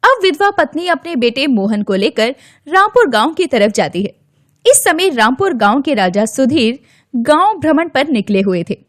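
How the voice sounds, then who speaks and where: A woman storyteller reads a scripted children's tale. Her voice is high-pitched and swoops expressively, with a dry studio sound. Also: big pitch swings, moderate pace, no echo.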